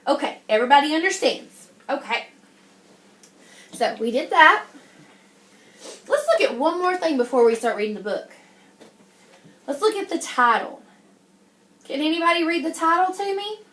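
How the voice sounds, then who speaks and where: Lady teacher doing a storytime for little kids, high voice, encouraging, lots of questions, roomy echo. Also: animated, moderate pace, long pauses, fairly bright tone. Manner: encouraging, addresses the class as guys, frequent check-in questions. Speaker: teacher reading a picture book to young children. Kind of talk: interactive classroom read-aloud with questions and praise. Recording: echoey room.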